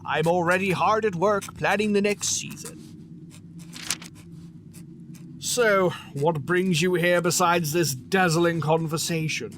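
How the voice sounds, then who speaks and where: An actor playing a haughty, sardonic phantom creature of the opera house in an audio drama, theatrical and disdainful. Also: male voice, sits high, measured pace, a bit bright, slight background noise. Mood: aloof, sardonic.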